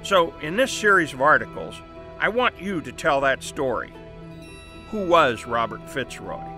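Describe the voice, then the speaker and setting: An actor playing a brisk, persuasive newspaper boss in an audio drama, confident and explanatory. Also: male voice, a little high, sing-song, music in the background. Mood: brisk enthusiasm. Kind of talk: family audio drama acting.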